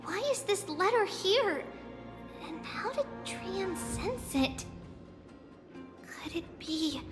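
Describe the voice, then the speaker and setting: A woman playing a childlike, earnest and excitable little girl oracle in an anime-style video game. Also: extremely high pitch. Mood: puzzled, pensive. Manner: cute childish delivery, speaks of themselves by name. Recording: long reverb, loud music behind.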